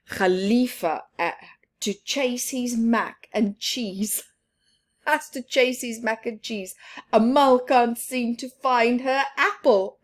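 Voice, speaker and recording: Lady storyteller reading to kids, real slow and expressive, little laughs. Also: on the high side. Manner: slow, expressive, with soft laughs and whispered asides. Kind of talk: children's picture book read-aloud.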